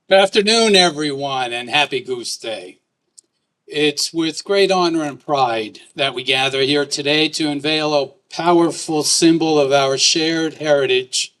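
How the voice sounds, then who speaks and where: A man reads a formal, measured address at an outdoor ceremony. His voice sits somewhat high for a man. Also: fairly bright tone, rough recording. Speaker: county commissioner. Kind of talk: prepared ceremonial speech. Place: outdoors at a courthouse.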